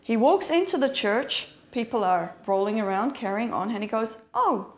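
A woman's voice: on the high side, moderate pace. A young woman telling stories animatedly, acting out the voices of the people in them, in a seated discussion. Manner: animated storytelling with quoted voices. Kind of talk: conversational discussion. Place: small room with sofas, indoors. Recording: roomy indoor sound.

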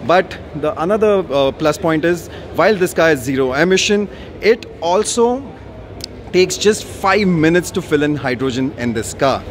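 A man's voice: on the high side, expressive, moderate pace. A man presenting a car on a walkaround at an expo, explaining casually with plenty of ums and uhs. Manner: casual explaining with many fillers. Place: large indoor auto expo hall. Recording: noisy expo hall.

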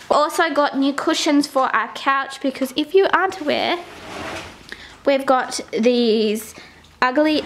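A woman's voice: high voice, a bit bright, slight background noise, low-quality recording. A young Australian woman in her twenties, chatting casually to a handheld camera at home and in the car. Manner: chatty, talking straight to the camera. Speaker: vlogger. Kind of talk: casual vlog chat. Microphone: handheld camera at arm's length.

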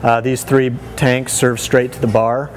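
A man in his thirties, a head brewer giving a casual tour of his small brewery, relaxed and full of fillers and restarts. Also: mid-range voice, unhurried, a little hiss or hum. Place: small brewery inside a bar, among steel tanks. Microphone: lapel mic.

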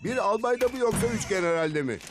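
A man's voice: high voice, expressive, quick pace. A man playing an indignant, bossy military officer in a cartoon, in an exaggerated commanding manner. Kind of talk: animated cartoon dub. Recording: loud music behind the voice.

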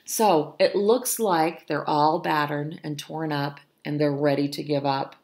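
A middle-aged woman reading a picture book aloud to children, expressive and pausing to explain. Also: sits low. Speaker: storytime reader, teacher.

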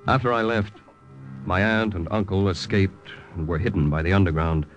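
A man's voice: low-pitched, fairly even intonation, moderate pace, dull audio, natural voice. An actor playing a cool, calculating male spy who poses as a collaborator in an old radio drama. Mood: grave, confiding. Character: male OSS agent posing as Nazi collaborator, cool, calculating. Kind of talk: 1940s-50s radio drama acting and first-person narration. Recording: old radio recording with music behind.

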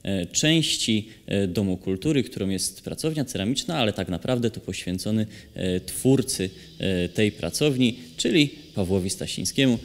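A man hosting an interview, asking questions in a measured, slightly hesitant way. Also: lowish voice, animated. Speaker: interviewer, host. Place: hall at a cultural centre. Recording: long reverb of a hall.

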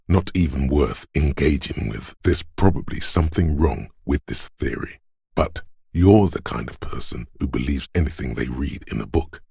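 An actor playing an inner voice of cold deductive logic in a video game, measured, deliberate and dryly condescending, with a gravelly, husky voice. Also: male voice, extremely low pitch, darkish tone, dry recording, studio quality, exaggerated voice. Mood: dismissive. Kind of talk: video game voice-over, scripted narration. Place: dry studio recording.

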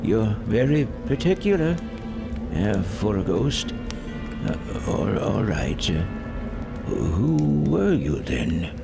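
An actor in an audio drama playing a frightened, crotchety old Victorian miser, stammering and theatrical. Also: male voice, on the low side, very animated, gravelly, over music, heavily acted. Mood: nervous, doubting. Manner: stammering, halting, theatrical, swooping delivery. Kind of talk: audio drama acting of a Victorian ghost story.

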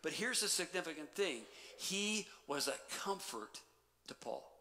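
A middle-aged man preaching a sermon as pastor, animated, then dropping to quiet emphasis. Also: a little high, unhurried, slight background noise. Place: church sanctuary stage. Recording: long church reverb.

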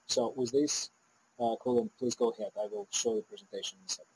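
A middle-aged man hosting a conference over a video call, introducing the speaker haltingly with many uh fillers. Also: mid-range voice, fairly even intonation, a little mellow, husky, poor audio. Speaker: event host. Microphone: video call webcam.

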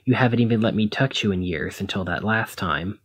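A man playing a protective, conflicted demigod hero in a one-sided ASMR roleplay, speaking emotively and haltingly to the listener. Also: lowish voice, husky. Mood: resigned, hurt. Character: brawny male demigod hero, protective, conflicted, exasperated lover.

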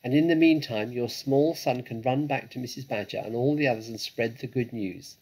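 Man as a suave, husky storybook fox. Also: medium pitch, darkish tone, lightly acted, poor audio. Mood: assured. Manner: courteous, deliberate, slyly theatrical. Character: suave, confident, reassuring grown male fox, a father.

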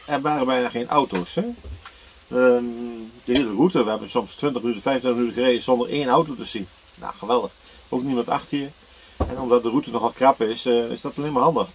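A man's voice: mid-range voice, a little hiss or hum, rough recording. Easygoing older man vlogging from a camper. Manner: chatty, easygoing, many fillers and restarts. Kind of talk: casual travel vlog to camera. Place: inside a camper van, then outdoors by a river. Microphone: camera at arm's length.

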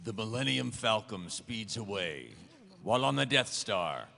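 A man reading dramatic scripted narration live, with a gravelly voice. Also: lowish voice, lively intonation, unhurried, sharp tone. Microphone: table microphone. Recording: echoey convention hall.

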